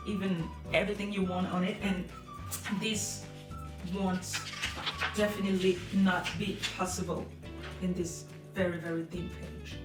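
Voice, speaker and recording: Hesitant French-accented woman, roomy distant sound. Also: on the low side. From France. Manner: hesitant, many fillers, soft laughs. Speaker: vlogger. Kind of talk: casual chat to camera. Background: music behind.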